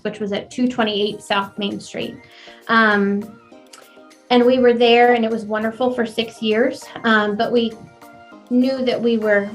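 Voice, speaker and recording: A woman in her forties, a florist and business owner, telling her story in a chatty, animated way with a young-sounding voice. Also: fairly high pitch. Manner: chatty and animated, laughs easily. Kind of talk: conversational interview.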